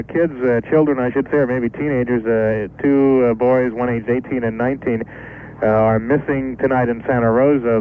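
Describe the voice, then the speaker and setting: A man with an American accent giving a live radio news report, ad-libbing haltingly and correcting himself as he goes. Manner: halting, ad-libbed delivery with self-corrections. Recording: muffled phone line with faint rumbling noise.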